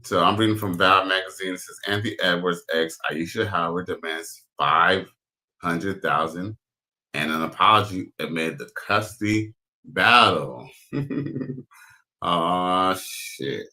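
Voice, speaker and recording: A man with a very deep baritone giving loud, crude, slangy reaction commentary as host. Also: takes it slowly.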